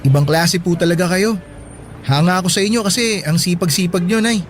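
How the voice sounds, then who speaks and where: Dutiful student son for radio drama male actor: high-pitched, gentle, earnest, deferential. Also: brisk, a little hiss or hum, close to an everyday voice. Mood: earnest, grateful. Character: respectful, caring college-student son, earnest and worried. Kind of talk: Filipino radio drama acting.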